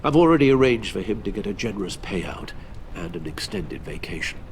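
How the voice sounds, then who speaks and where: A man's voice: on the low side, sing-song, brisk, a little hiss or hum. An actor playing a loyal, anxious older British butler with a formal, fatherly manner in a video game. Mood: formal, concerned.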